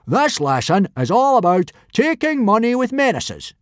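Roaring, blustering Viking teacher. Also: male voice, high voice, very animated, normal speed, no echo, clean studio sound, pitched above the performer's own voice. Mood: commanding. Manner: roaring, bellowing, commanding. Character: huge hairy gruff Viking teacher, blustering and loud.

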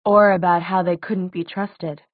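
A woman voicing a gentle, weary man in an audiobook, speaking in a quiet, measured, apologetic way. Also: lowish voice, little pitch movement, dry recording, narrow, tinny audio. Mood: calm, apologetic. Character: gentle, weary adult man, guardian figure.

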